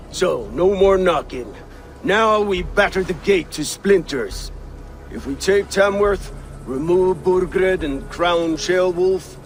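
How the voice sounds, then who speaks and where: A stern Viking chieftain who gives slow, curt commands in a slightly raised voice. Faint noise sits under the game audio. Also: male voice, sits high, low-quality recording. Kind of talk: video game cutscene acting.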